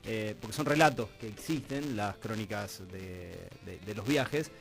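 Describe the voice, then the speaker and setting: A man with an Argentine accent hosting a conversational radio interview, reflective and halting as he builds long questions. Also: on the low side, creaky, faint music behind. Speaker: radio host interviewing guests. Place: radio studio.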